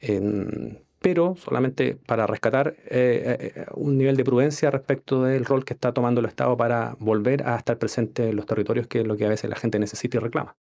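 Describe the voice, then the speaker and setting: A man with a Chilean accent, an academic guest on a radio discussion, speaking in a measured, analytical way with some hesitations. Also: medium pitch, steady tone, vocal fry, darkish tone. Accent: Chilean Spanish. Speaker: political science academic guest. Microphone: studio microphone.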